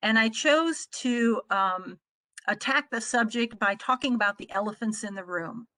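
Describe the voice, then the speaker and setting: A middle-aged American woman from the Midwest giving an explanatory presentation as a trainer, speaking in a measured, articulate way. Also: a little high, sharp tone, dry recording. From Midwestern United States. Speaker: trainer presenting on a conference call.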